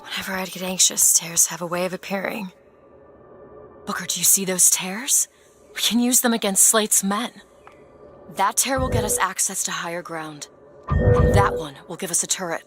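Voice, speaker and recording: A woman's voice: mid-range voice, huge pitch swings, normal speed. A woman playing a bookish, earnest, sheltered young woman in a video game, speaking articulately. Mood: eager. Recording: loud music behind.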